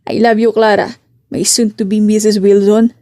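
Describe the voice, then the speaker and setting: A woman voicing a gentle, affectionate man pleading with his beloved in a romance audio drama. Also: mid-range voice, level tone, unhurried, no room sound, clean studio sound, lightly acted. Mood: overjoyed, loving. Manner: coaxing, affectionate. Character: devoted male suitor, gentle and pleading.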